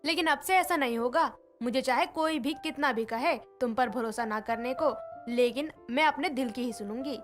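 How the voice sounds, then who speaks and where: A woman voicing a wary older mother-in-law and landlady in a storybook tale, over soft background music. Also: sits high, normal speed, few pauses, natural voice. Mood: remorseful. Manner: anxious, pleading.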